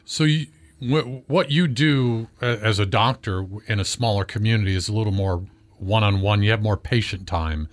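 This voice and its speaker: A man's voice: fairly low pitch, normal speed. An American man hosting a studio radio program, asking questions in a conversational interview style. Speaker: radio host.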